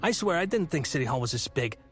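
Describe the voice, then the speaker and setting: A weary investigator with a fairly high male voice. He is dry and sardonic in this radio drama, over faint music. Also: sing-song, fast talker.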